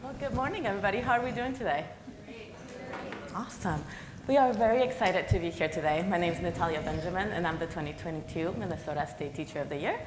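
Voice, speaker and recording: A woman's voice: lowish voice, fast, reverberant, background noise. A woman from Guatemala greeting the crowd cheerfully and introducing herself on a stage panel. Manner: upbeat, audience-engaging. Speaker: panelist, high school teacher. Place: stage in a museum hall.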